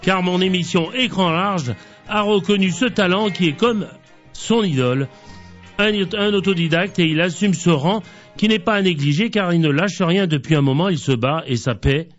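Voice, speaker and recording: A man hosting a radio interview, talking slowly with stumbles and repeated words. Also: high voice, lo-fi sound, faint music behind. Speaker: radio host.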